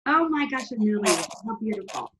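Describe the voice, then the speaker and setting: A woman's voice: big pitch swings, a little fast, background noise. A woman hosting a talk show, interviewing a guest with effusive exclamations. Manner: effusive, exclaims with big pitch leaps. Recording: echoey room.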